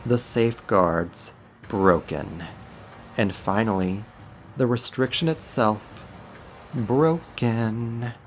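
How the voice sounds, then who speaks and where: A man voicing a powerful, smugly dominant archdemon in an ASMR roleplay, mocking and menacing with a theatrical, deliberate delivery. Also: on the low side, a little hiss or hum. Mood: gloating. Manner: theatrical, taunting, slow and deliberate.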